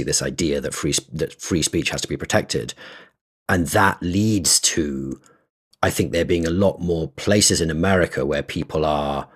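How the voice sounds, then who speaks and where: A man's voice: a bass voice, fairly bright tone, husky. A man co-hosting a casual podcast, explaining ideas at length while thinking aloud, with frequent restarts and small laughs. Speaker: podcast co-host.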